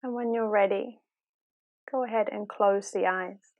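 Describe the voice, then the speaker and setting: Woman doing guided meditation, mid pitch, calm n gentle, takes her time, lots of pauses. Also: phone-quality sound. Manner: calm, unhurried, softly instructive with long pauses. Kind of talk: guided body-scan meditation, slow gentle instruction.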